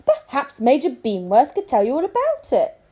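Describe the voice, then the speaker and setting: A woman's voice: sits high, huge pitch swings, moderate pace, warm tone, pitched above the performer's own voice, heavily acted. A woman voicing a scheming male lord in a storybook, with a formal, falsely deferential and oily manner. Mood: feigned concern. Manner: oily, feigned concern, formal. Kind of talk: children's storybook character voice.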